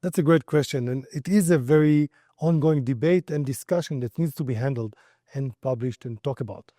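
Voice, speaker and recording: A man's voice: mid-range voice, a little fast, warm tone, no echo, studio quality. A middle-aged man with an Israeli accent, giving explanatory answers as an expert in an interview. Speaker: company founder and credit expert interviewee.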